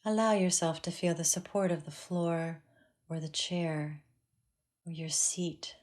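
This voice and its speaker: A woman teaching a guided meditation in a calm, measured way with long pauses. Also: fairly low pitch.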